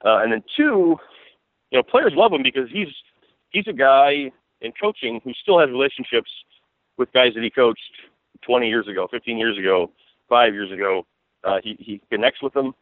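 A man's voice: mid-range voice, no room sound. An American man giving conversational sports analysis as a guest, rambling and repeating himself for emphasis. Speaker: sports beat writer, interview guest. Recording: tinny narrow phone line.

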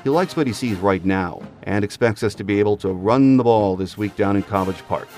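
A man's voice: on the low side, a little fast, a little mellow, soft background music. A man hosting a sports podcast, delivering scripted commentary. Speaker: podcast host.

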